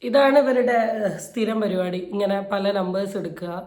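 A woman delivers opinion commentary in an indignant, emphatic way. She repeats phrases for stress, her mid-pitched voice ringing in a roomy, echoey space. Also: moderate pace, darkish tone. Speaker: YouTube commentator. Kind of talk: opinion commentary on a viral video.

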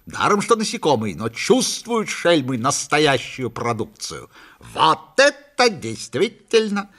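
A man voicing a gullible, boastful everyman in a comic story, exclaiming emphatically in a husky voice. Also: fairly high pitch, sing-song, slow, fairly bright tone, pitched above the performer's own voice, full character voice. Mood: smug, triumphant.

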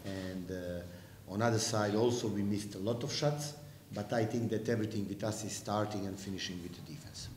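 Middle-aged coach, lowish voice, lots of uh pauses, roomy echo. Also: male voice, fairly even intonation, a little mellow, slight background noise. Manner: hesitant, measured, many "uh" pauses. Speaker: home basketball coach. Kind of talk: post-game press conference answers. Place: press room with sponsor backdrop. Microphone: table mic. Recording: echoey room.

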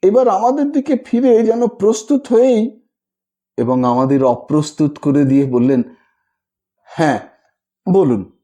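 A man narrating a scripted story in an expressive, measured, dramatic storytelling manner. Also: high voice. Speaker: audio story narrator and channel host.

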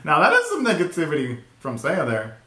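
A man in his twenties giving a casual, excitable live reaction commentary full of slangy exclamations, recorded in a small bedroom. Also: on the high side, a little fast. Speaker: reaction video host. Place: small bedroom at home, indoors. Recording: roomy, echoey home recording.

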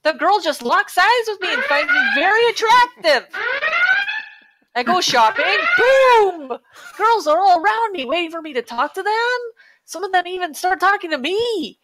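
A woman's voice: extremely high pitch, big pitch swings, moderate pace, heavy background noise. A woman reading reviews aloud on a podcast in a comedic, dramatic style. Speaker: podcast reader.

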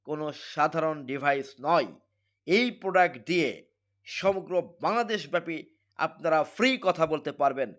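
A man with a Bangladeshi accent giving an emphatic, repetitive sales pitch as he presents a product. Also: fairly bright tone. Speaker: product seller presenting a device.

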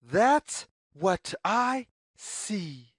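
A woman reading a children's picture book aloud as storyteller, with deliberate, sing-song phrasing. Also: mid-range voice, sing-song, slightly rough, no echo.

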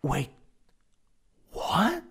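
A man acting an anxious, polite office worker in an ASMR boyfriend roleplay, halting and dramatic, with a breathy voice that often drops toward a whisper. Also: fairly bright tone. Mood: frightened, panicking.

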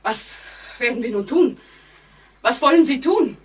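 A woman's voice: high voice, lively intonation, measured pace, husky, heavily acted. An actress playing a nervous, frightened woman in a 1940s-style radio play, speaking in a hesitant, anxiously polite way. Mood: alarmed. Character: nervous, increasingly frightened woman trapped in an elevator. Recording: noisy vintage radio drama recording.

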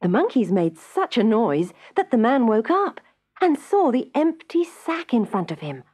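A woman reads a children's story as narrator, in a slightly husky voice. Her delivery is measured yet lively, with dramatic emphasis on key words. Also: on the high side.

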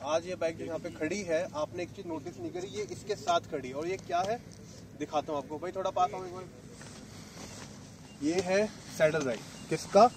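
A man's voice: high voice, sing-song, moderate pace, noisy background. A man in his thirties, a motorcycle vlogger, chatting casually as he explains gear step by step at an open lakeshore. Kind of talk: casual product demo.

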